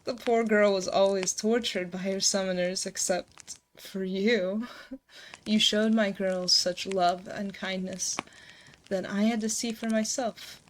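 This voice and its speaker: A woman playing an ancient succubus demon queen, motherly and gently teasing, in a hushed, soothing, intimate ASMR roleplay, close to a binaural mic. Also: medium pitch, close to an everyday voice. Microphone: close binaural 3Dio microphone. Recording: close binaural mic, noticeable background noise.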